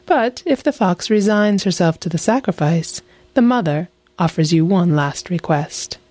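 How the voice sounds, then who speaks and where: A cunning storybook mother fox, polite and silky, coaxing with flattering words, as a woman reader puts her on. The voice lifts well above the reader's own low tone and moves lightly. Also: unhurried. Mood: sly, polite. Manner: smooth and flattering.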